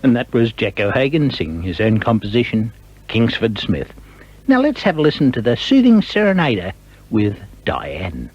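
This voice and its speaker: A man's voice: medium pitch, wildly swooping pitch, normal speed, dull audio, soft background music. A man working as a radio presenter, introducing records and interviewing a guest.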